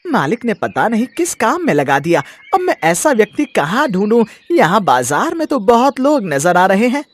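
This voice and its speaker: A man's voice: high voice, big pitch swings, moderate pace. A man voicing a sorcerer's sneaky, grumbling henchman in a story, scheming as he talks to himself.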